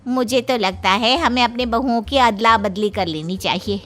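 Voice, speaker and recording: A woman playing a chatty, rueful middle-aged auntie in a Hindi cartoon story, with gossipy, drawn-out exclamations. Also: on the high side, noisy background, close to an everyday voice. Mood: advising.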